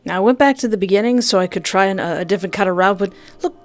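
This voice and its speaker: A woman's voice: medium pitch, very fast. A woman hosting a gaming playthrough, reading a visual novel aloud with dramatic narration and playful commentary.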